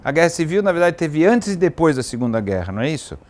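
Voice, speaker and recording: A man with a Brazilian accent hosting a casual interview, haltingly rephrasing his points and seeking confirmation. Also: a little high, very animated, a little mellow. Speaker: interview host.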